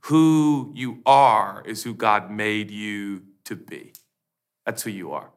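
A male pastor preaching an emphatic, animated sermon from a church stage, with quick asides and repetition. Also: lowish voice.

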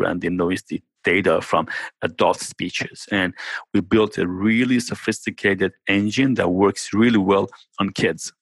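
A man's voice: low voice, gravelly, a bit bright, dry recording. A man speaking English with a non-native accent, a startup founder explaining his technology in conversational interview answers, often saying "basically" and "right".

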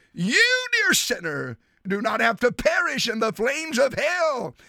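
A man preaching an impassioned evangelistic sermon on the radio, often shouting and drawing out key words, with repeated appeals to "dear sinner" and "my friend.". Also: extremely high pitch, vocal fry, no echo, studio quality.

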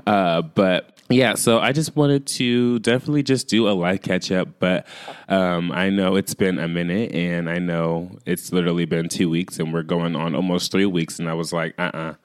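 A man hosting a casual solo podcast, chatty and full of fillers, his voice a little raspy as he recovers from losing it. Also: deep voice, fairly even intonation, normal speed, dry recording, studio quality. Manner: chatty, filler-heavy, addresses listeners as "you guys". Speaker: podcast host.

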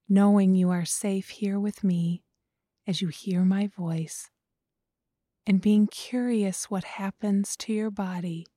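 Female meditation guide, very slow, hushed whispering delivery, warm and dark tone. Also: medium pitch, no room sound. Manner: slow, soft, often whispered.

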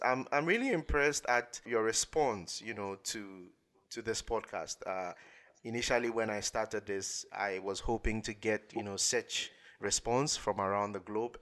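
A man's voice: on the low side, a bit bright. A man hosting a podcast, welcoming his guest in a formal, courteous way with frequent fillers and restarts. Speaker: podcast host. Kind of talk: podcast interview.

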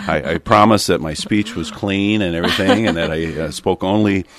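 A man with an American accent and a gravelly voice, talking reflectively and haltingly as a bishop hosting a radio show. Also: low voice. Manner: halting, meandering with frequent hesitations. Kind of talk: reflective, conversational radio talk.

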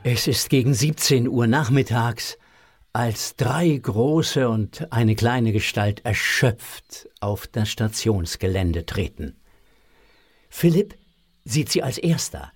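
A man's voice: medium pitch, animated, slow pace, husky. A man narrating a children's audio drama as a scripted storyteller. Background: music.

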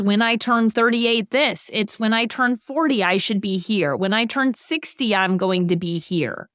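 A woman's voice: medium pitch, a bit bright, no echo, studio quality. A woman in her late thirties co-hosting a podcast, explaining ideas and giving everyday examples in a casual way. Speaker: podcast co-host.

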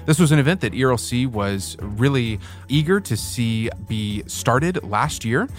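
A man's voice: mid-range voice, expressive, unhurried, soft background music. A man hosting a podcast, giving introductions and interviewing a guest in a conversational, presenter-like style. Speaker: podcast host.

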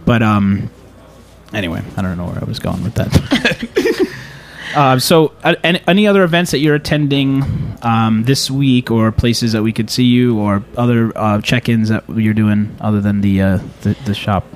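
A man's voice: fairly low pitch, lively intonation, normal speed, slight background noise. A man hosting a radio show, chatting casually with guests in a loose, rambling way. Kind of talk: casual interview chat.

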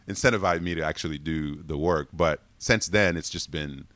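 A man's voice: very deep voice, normal speed, a bit bright, no echo. A man in his forties from Congo, speaking English, animatedly telling stories in an interview and often laughing. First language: French. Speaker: guest. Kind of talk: casual interview storytelling.

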